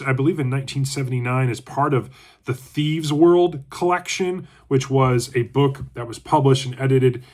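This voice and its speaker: A congested man hosting his own channel, giving a chatty, relaxed book review and thinking aloud as he goes. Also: mid-range voice. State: congested from allergies. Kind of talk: casual book review talk.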